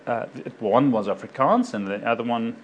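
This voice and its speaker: A man's voice: mid-range voice, expressive, normal speed, highs cut off. Guy, a doctor, chatting to a crowd, lots of "you know" and restarts, bit of background hum. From South Africa. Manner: rambling, many fillers and self-corrections. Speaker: doctor speaking to a local community group. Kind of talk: informal personal talk to an audience.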